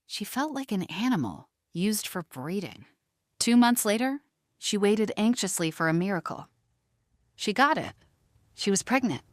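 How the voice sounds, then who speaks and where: A woman narrator delivering scripted romantic drama in a measured, emotive storytelling manner. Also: medium pitch, sing-song, dry recording, clean studio sound.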